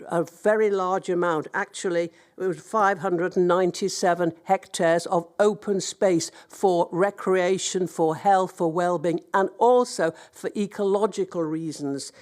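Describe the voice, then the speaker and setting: Woman councillor, stuffy cold voice, fairly low-pitched, earnest, halting delivery. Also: darkish tone. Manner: earnest, hesitant, with many ums and restarts. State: blocked-up nose from a cold. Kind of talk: public hearing statement.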